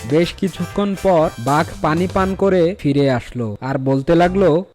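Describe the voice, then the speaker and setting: A man's voice: a little high, measured pace, a little mellow, soft background music. A man narrating a scripted fairy tale as a storyteller for a cartoon.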